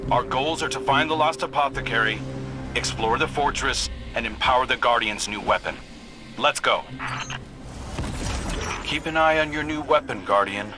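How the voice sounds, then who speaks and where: A man's voice: mid-range voice, normal speed, pauses often, natural voice. An actor playing a matter-of-fact, encouraging male space agent briefing a hero in a video game. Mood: briefing, resolute. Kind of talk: video game cutscene acting. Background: cinematic music.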